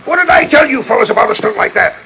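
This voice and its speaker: An actor playing a hot-tempered western sheriff who barks in a folksy drawl, in 1940s radio style. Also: male voice, very high-pitched, animated, fast talker, vocal fry, sharp tone, full character voice, rough recording. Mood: furious, scolding. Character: blustering, hot-tempered old-west sheriff and lynch mob men. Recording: old radio transcription disc, background commotion.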